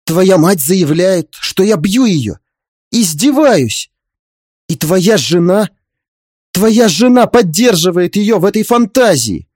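A man playing a tyrannical older father-in-law in an audiobook drama, contemptuous and manipulative, speaking with drawn-out menace. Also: fairly low pitch, darkish tone, no room sound, studio quality, pitched below the performer's own voice, lightly acted. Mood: wounded outrage.

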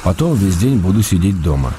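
Low-voiced tender letter-writing husband. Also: male voice, big pitch swings, fairly quick, mellow, slight background noise. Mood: tender, reassuring. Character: tender, wry ailing writer husband writing love letters. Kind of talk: intimate dramatic letter reading for radio. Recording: music behind the voice.

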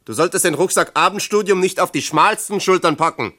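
Measured, condescending middle manager. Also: male voice, sits high, pushed and bright. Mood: firm, official. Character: Klarmann, evasive East German works party official. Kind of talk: German radio play acting.

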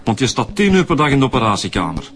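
A man's voice: mid-range voice, fast, lightly acted. A man with a Flemish accent playing an eager, talkative detective in a radio drama. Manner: eager, chatty, excitable. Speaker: police detective. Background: music.

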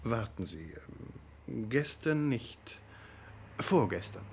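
An actor playing a wary drugstore owner with a husky voice and colloquial, hedging speech in a vintage crime radio play. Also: male voice, medium pitch, animated, slow pace, full character voice. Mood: eager, certain. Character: male drugstore owner, evasive, wary, eager to avoid trouble.

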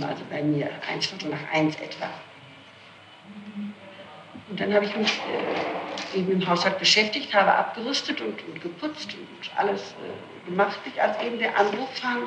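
A woman answers questions on an old archival tape with clear noise and a roomy echo. She explains things step by step, haltingly, often circling back to correct herself. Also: fairly low pitch. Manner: halting, circumstantial, self-correcting. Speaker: accused being questioned. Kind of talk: recounting answers under interrogation. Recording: noisy echoey archival tape recording.

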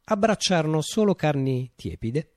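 An actor playing a visionary man recounting his utopian revolution in a declamatory, deliberate radio monologue. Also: fairly high pitch, very animated, a little mellow, no echo, studio quality. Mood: measured, wry. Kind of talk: theatrical radio monologue, dramatic storytelling.

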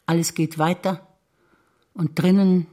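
An older woman from Bavaria, a stage actor, reciting memories in a measured, reflective way. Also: fairly low pitch, fairly even intonation, dark tone. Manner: measured, reflective, list-like delivery.

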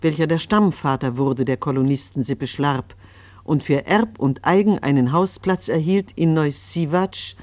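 A solemn radio-play chronicler, played by a man, recites genealogy like scripture. The delivery is measured and formal, in a dry recording without room sound. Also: on the high side, a little mellow, no echo, natural voice. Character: solemn biblical-style chronicler of a farming family.

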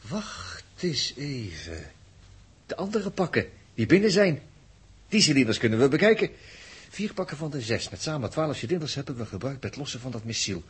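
A man's voice: mid-range voice, very animated, normal speed, slightly rough. Curt, impatient space crewman. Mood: brisk. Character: brisk, impatient male space crewman giving orders. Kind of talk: 1960s radio drama acting. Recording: old radio recording, faint noise.

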